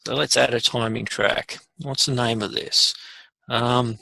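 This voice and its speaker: A man presenting a casual software tutorial, informally thinking aloud as he demonstrates each step. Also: medium pitch, fairly even intonation, measured pace, gravelly, no room sound, poor audio. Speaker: webinar presenter.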